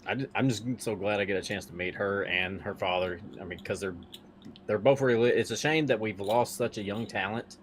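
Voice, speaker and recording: A man's voice: on the low side, fairly even intonation, a little fast, a little hiss or hum. A man talking casually as a podcast panelist.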